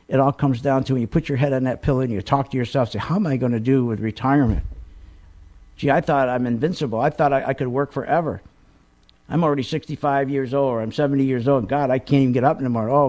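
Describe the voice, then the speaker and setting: A man's voice: medium pitch, a little mellow. A middle-aged man hosting a radio talk show, rambling and emphatic, often stumbling over repeated fillers.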